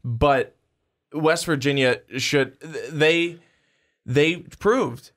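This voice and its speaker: A young man co-hosting a sports podcast in a studio, talking in a measured way with frequent restarts. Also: a little high, a bit bright. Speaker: podcast co-host. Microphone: studio microphone.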